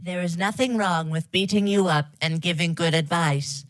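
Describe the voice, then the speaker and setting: A woman's voice: on the low side, steady tone, normal speed, no echo. A synthetic woman's voice reading scripted dialogue in a stilted, disjointed way. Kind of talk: text-to-speech reading of garbled game dialogue.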